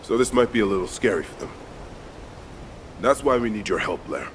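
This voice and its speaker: A man's voice: on the low side, animated, a little fast, a little hiss or hum, close to an everyday voice. A man voicing a persuasive, teasing comrade in a video game, with a coaxing, knowing delivery. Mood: coaxing, serious. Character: persuasive, teasing male comrade giving missions.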